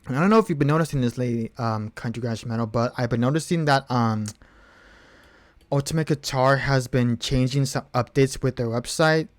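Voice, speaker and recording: A man's voice: mid-range voice, fairly quick. A man chatting casually on his live stream between song requests, rambling with lots of fillers and repeated words.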